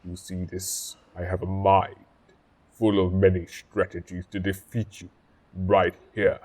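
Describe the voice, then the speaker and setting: A very deep-voiced alien war general, slow and heavy in delivery. He is pompous and vengeful, declaiming threats like a proud soldier in a sci-fi audio drama. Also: level tone, darkish tone. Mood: cold threat.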